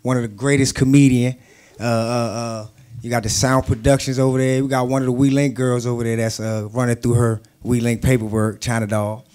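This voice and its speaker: A man's voice: mid-range voice, level tone, fairly quick, a little mellow, clear, resonant tone. A man speaking as a guest promoter on a casual show, laid-back and repeating himself. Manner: laid-back, repeats "you know what I'm saying". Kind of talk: casual talk-show interview.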